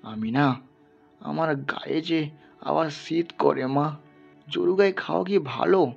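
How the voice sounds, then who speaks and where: A man voicing a poor, sorrowful peasant father in an audio story, speaking tenderly in halting, pleading murmurs. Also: fairly high pitch, gravelly, a little mellow, muffled recording, exaggerated voice. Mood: sheepish pleading. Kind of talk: dramatized literary audio story. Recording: band-limited, music underneath.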